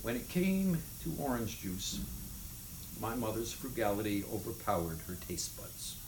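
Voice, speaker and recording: A man giving an expressive, emphatic reading of humorous memoir prose. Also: lowish voice, a little slow. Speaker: reader of a memoir chapter. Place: indoors in a city apartment. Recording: echoey room, faint background noise.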